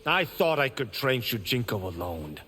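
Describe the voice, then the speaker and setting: A man's voice: medium pitch, very animated, moderate pace, pushed and bright. An actor playing a cocky, boastful young male martial arts fighter in video game dialogue. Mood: chastened. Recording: reverberant hall, background ambience noise.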